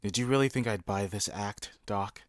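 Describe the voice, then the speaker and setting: A man's voice: fairly low pitch, moderate pace, no room sound, studio quality, soft background music, close to an everyday voice. A man voicing a wry, skeptical young hedgehog hero in a cartoon comic dub, talking in a casual, sardonic way. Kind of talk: comic book fan dub cartoon acting.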